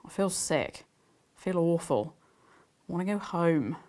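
A woman voicing a shy, anxious ten-year-old girl in a storybook reading, speaking softly and hesitantly. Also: on the low side, expressive, measured pace. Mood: nervous.

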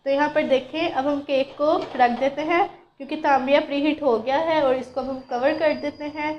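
A woman's voice: sits high, steady tone, moderate pace, lo-fi sound, slight background noise. A woman from Pakistan, patiently explaining a recipe step by step as a cooking channel host. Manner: patient, instructive, addresses viewers directly.

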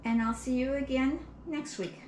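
A woman's voice: high-pitched, steady tone, normal speed, darkish tone. A middle-aged woman explains things plainly, step by step, in a casual home vlog, recorded by a camera a few metres away in a kitchen. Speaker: home cleaning vlogger. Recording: roomy echo, clear background noise.

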